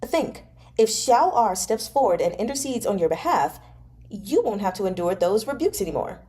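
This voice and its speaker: A woman's voice: mid-range voice, very animated, moderate pace, warm tone, lightly acted. A woman reading a novel aloud as an eager young nobleman, wheedling and insistently coaxing a friend. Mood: eager, persuasive. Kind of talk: dramatized audiobook-style reading of a novel.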